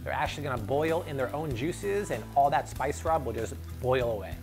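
A man's voice: mid-range voice, fairly quick. Chicago man in his thirties, bouncy cooking host, home kitchen, lapel mic, music faint underneath. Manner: upbeat, playful, salesy. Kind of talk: energetic cooking demo.

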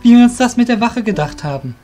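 An earnest young apprentice in a fantasy radio play , acted by a man, speaks in a raised, youthful pitch. He is curious and politely cheeky, with frank questions. Plucked guitar music plays behind him. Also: animated, fast talker, mellow. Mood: calming.

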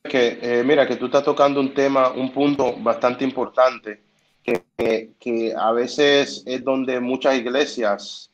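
A man from the Dominican Republic speaking calmly and measuredly on a video call, with pauses and hesitations. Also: mid-range voice, lo-fi sound. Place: home room, indoors. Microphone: laptop webcam on video call.

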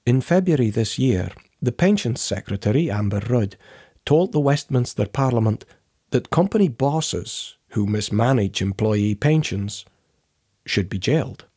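A man giving a measured, indignant scripted documentary narration as a voice-over. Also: mid-range voice, expressive, dark tone, breathy edge.

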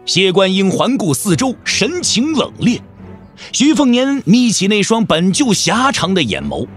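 A man's voice: sits high, huge pitch swings, measured pace, faint music behind. A man narrating a martial-arts audio drama in a dramatic scripted style.

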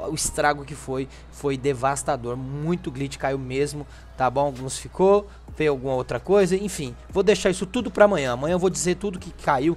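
Higher-voiced Brazilian man, chatty game commentary. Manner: informal, chatty, frequent tag questions. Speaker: gaming channel host. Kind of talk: casual video game walkthrough commentary.

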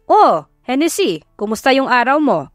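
Woman as concerned father, middle-aged, gentle, softly questioning, audio drama, close dry sound. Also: sits high, big pitch swings, moderate pace, dry recording, faint music behind, voice pushed up. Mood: welcoming.

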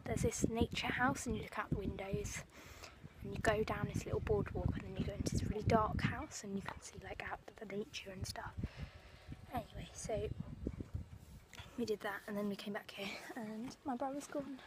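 A woman's voice: mid-range voice, moderate pace. A teenage girl chatting casually into a phone held at arm's length outdoors, rambling with lots of ums and likes. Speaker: vlogger. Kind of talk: casual vlog chat. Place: outdoors at a sunny marina and woodland path. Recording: noisy outdoor phone recording.

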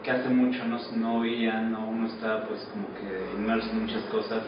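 A man's voice: fairly low pitch, level tone, normal speed. A Mexican man in his thirties, an actor, giving casual answers in an interview. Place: small office indoors. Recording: very echoey, muffled, noisy, distant microphone.

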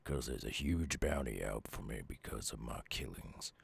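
An extremely deep, heavily gravelly werewolf with a Southern US drawl, in an ASMR audio roleplay. He is a gruff, wounded outcast, weary and guarded. His words come slowly and haltingly, in a very husky rasp. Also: animated, exaggerated voice. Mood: guarded, resigned.

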